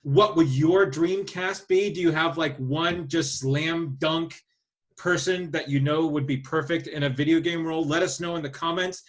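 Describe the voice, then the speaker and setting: A man's voice: on the high side, fairly even intonation, moderate pace. A man hosting a podcast in casual group conversation. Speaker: podcast host.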